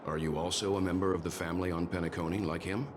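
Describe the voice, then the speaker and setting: A man as a deep-voiced, reserved companion. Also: steady tone, fast talker, background noise, natural voice. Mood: courteous concern. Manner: polite, reserved. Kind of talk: dubbed video game dialogue. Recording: game audio over music.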